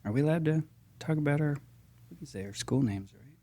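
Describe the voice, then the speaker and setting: The American guy with a fry-edged voice on a work podcast, feeling his way through each answer in halting, relaxed conversation. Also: a little high. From Texas and Georgia, US. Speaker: guest paramedic.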